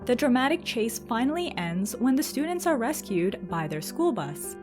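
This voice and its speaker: Woman host, fairly high voice, dramatic scripted storytelling, clear music bed underneath. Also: sing-song, normal speed. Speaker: host and narrator of a countdown video. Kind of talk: scripted narration about cartoons. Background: music behind the voice.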